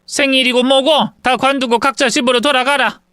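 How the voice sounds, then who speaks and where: A man voicing an older woman, a soft-spoken, doting mother-in-law. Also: very high voice, steady tone, a little fast, smooth, dry recording, studio quality, pitched above the performer's own voice.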